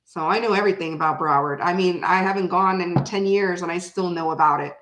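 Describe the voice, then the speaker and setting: A woman in her forties, raised in Florida and bilingual in French, with an American accent. She chats casually with live viewers, rambling and breaking into sudden animated bursts. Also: lowish voice, fast, reverberant, rough recording. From Canada, raised in Florida. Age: 40s. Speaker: tarot reader chatting with live viewers. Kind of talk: casual livestream chat.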